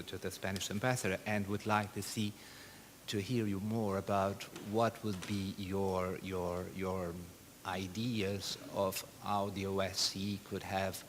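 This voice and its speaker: A man's voice: low-pitched, a little hiss or hum. A man, a delegate asking a question at a conference, hesitant with many fillers and repeats. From Portugal.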